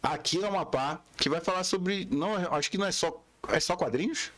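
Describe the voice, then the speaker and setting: A man's voice: fairly high pitch, a little fast, a bit bright. A man hosting a podcast interview in casual, conversational Portuguese. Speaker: podcast host.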